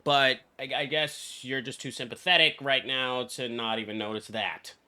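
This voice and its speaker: A man streaming a video game with casual, blunt, excitable, foul-mouthed reaction commentary. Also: mid-range voice, moderate pace, clean tone. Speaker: gaming streamer.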